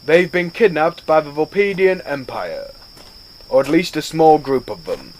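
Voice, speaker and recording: An actor playing a calm starship captain giving crisp orders in an audio drama. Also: male voice, on the high side, unhurried, a little hiss or hum, low-quality recording. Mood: commanding. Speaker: ship captain.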